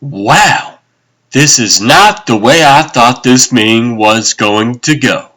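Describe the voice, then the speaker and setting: A man's voice: mid-range voice, takes it slowly, sharp tone. A man voicing a wary, wry former agent and weapons expert in a comic dub, with a dry, hesitant delivery.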